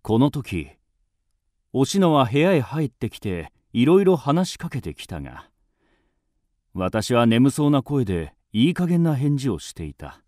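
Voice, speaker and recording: A man's voice: medium pitch, very animated, a little slow, dark tone, dry recording, studio quality. An actor playing a wry, lofty writer who narrates his youth in a literary radio drama. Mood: reminiscing, wry.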